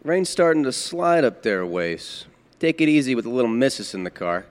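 A man's voice: medium pitch, sing-song, brisk, lightly acted. A man playing a folksy, chatty motorcycle traffic cop in a radio play, officious and clipped but casual. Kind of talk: classic radio thriller acting.

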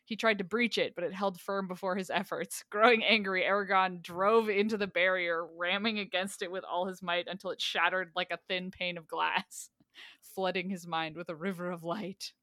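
A woman's voice: fairly low pitch, fairly even intonation, normal speed, bright, forceful tone, no room sound. Woman reading bits of a book aloud, wry, very dry sound. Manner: wry, reads passages aloud dryly. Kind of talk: casual book-club chat.

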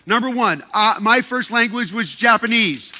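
Playful, joking man with a high, bright voice. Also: moderate pace. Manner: playful, joking, often repeats and restarts words. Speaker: speaker addressing a group. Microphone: handheld microphone.

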